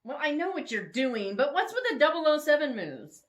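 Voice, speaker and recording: A woman's voice: high-pitched, big pitch swings, normal speed, voice pushed up. A woman voicing a sassy, eager young woman in a romance story, direct and playful. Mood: bemused.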